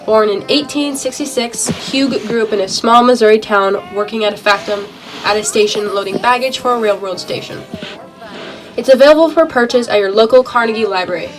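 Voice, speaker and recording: A woman's voice: a little high, brisk, distant mic, soft background music, rough recording. A young woman reading a scripted radio report as a 1920s-style presenter.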